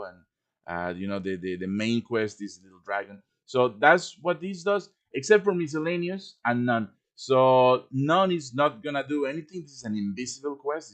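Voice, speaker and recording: A man presenting an informal live software tutorial, explaining things step by step with casual asides. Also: medium pitch. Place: live-streamed voice chat with screen share.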